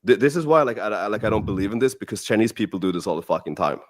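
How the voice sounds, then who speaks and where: A man with a somewhat low voice gives blunt, emphatic opinions in podcast banter. He swears often and states things flatly. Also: very fast. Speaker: dating coach. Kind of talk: casual podcast chat.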